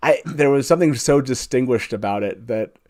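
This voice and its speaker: A man's voice: medium pitch, normal speed, darkish tone. A man hosting a casual group chat, talking in a rambling way with repeated phrases and frequent chuckles. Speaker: host. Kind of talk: casual chat, going round the guests.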